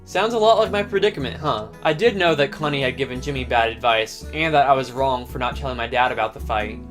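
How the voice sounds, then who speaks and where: A man's voice: medium pitch, fast, fairly bright tone. Man telling a personal story over guitar music. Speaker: host and narrator. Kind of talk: scripted personal storytelling narration. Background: plucked guitar music.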